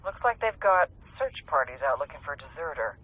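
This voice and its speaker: Bright-voiced American intelligence handler. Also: male voice, fairly high pitch, sing-song, fairly quick, highs cut off, full character voice. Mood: brisk, businesslike. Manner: crisp, no-nonsense. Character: brisk, tough intelligence handler. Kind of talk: video game radio dialogue.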